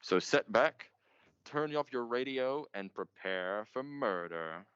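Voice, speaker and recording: An actor playing a pompous, melodramatic 1940s radio mystery host, theatrical and portentous in manner. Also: male voice, mid-range voice, animated, takes it slowly, music in the background. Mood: grandiose. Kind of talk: old-time radio mystery narration. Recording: muffled, noisy amateur stage recording.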